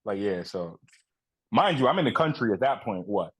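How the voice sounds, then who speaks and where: A man's voice: lowish voice, very animated, normal speed, breathy edge. A man in his thirties from Guyana, a guest on a podcast, talking in a candid, profane, self-mocking way. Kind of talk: casual podcast chat.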